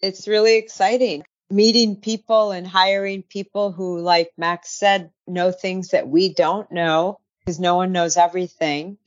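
Very low-pitched woman, dry close sound. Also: flat delivery, a little slow, hardly any pauses, dry recording. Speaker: company co-founder, interview guest. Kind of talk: conversational business interview. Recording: dry but dull, lo-fi audio.

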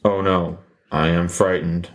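A man voicing a deadpan, literal-minded killer who talks in a flat, robotic monotone. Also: low voice, measured pace. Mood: flat menace.

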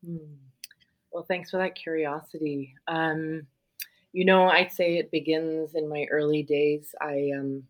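A middle-aged woman from South Africa, a social worker and yoga facilitator, speaking reflectively as an interview guest with frequent pauses. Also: deep voice, level tone. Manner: thoughtful, searching, many pauses and ums.

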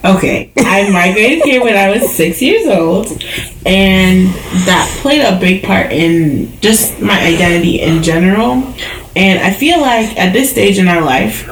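A woman's voice: mid-range voice, animated, measured pace, roomy sound, slight background noise, rough recording. A woman from Jamaica hosting a podcast, chatting casually and teasing with frequent laughs. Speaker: podcast host. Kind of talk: casual chat. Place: bedroom.

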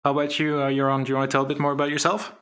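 A man's voice: mid-range voice, monotone, rapid-fire, fairly bright tone, clear, resonant tone. A man hosting an interview podcast, reading introductions and asking friendly questions. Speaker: podcast host.

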